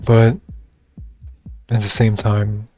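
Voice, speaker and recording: A young man with a soft, low voice speaks slowly in an almost monotone delivery. He sounds reserved and hesitant, filling pauses with likes and you knows. Faint music plays underneath. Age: young adult. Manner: soft-spoken, reserved, hesitant with many fillers. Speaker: vlogger. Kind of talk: personal monologue.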